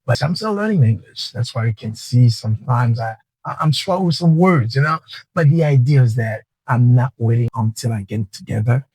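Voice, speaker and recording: A man's voice: mid-range voice, expressive, a little fast, darkish tone, breathy edge, no echo, rough recording. A man hosts a motivational podcast, speaking earnestly and restarting phrases as he coaches listeners. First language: Haitian Creole. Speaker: podcast host.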